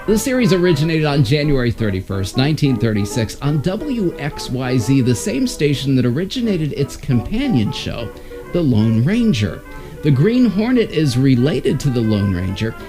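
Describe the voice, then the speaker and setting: A man presenting old-time radio shows in a scripted announcer's narration. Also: mid-range voice. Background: music.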